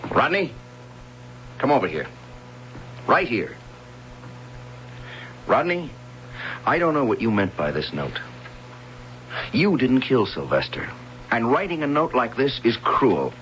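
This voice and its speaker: Stern grumpy radio husband, very animated, scolding, dull old recording w some noise. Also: male voice, mid-range voice, slow, long pauses, heavily acted. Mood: angry, scolding. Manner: gruff, scolding, emphatic. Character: stern, irritable, stubborn husband fed up with a boy. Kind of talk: old-time radio drama acting.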